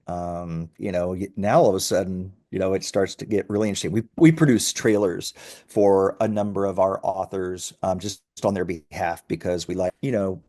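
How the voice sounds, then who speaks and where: A man speaking as a company founder and interview guest, informally pitching his work in an easygoing, halting way with friendly asides. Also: fairly even intonation, mellow. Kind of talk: casual conversational pitch.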